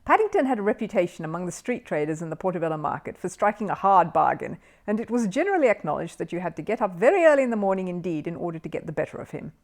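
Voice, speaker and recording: A woman reading a children's book aloud as an expressive storyteller. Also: fairly low pitch, racing, hardly any pauses.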